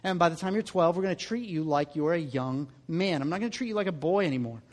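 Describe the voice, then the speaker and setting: A man in his mid-thirties preaching to his congregation in a loose, conversational way. His voice sits high for a man and trails off into the long reverb of a large church hall. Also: lively intonation, quick pace, a little mellow. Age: 30s. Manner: conversational preaching, repeats words when starting phrases. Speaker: pastor. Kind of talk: sermon.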